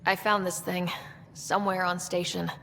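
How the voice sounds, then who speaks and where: A woman voice actor playing a determined, brisk, wry young space explorer in a video game. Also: lowish voice, flat delivery, sharp tone, big-room sound, slight background noise. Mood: guarded, businesslike.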